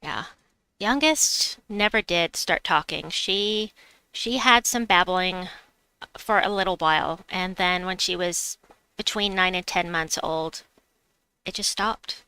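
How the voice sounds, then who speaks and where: A woman's voice: lowish voice, slow pace, pushed and bright, clean tone, dry recording, low-quality recording. A woman with a slight New York accent, streaming a game and chatting with her viewers as she plays. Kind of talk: casual live-stream chat while gaming.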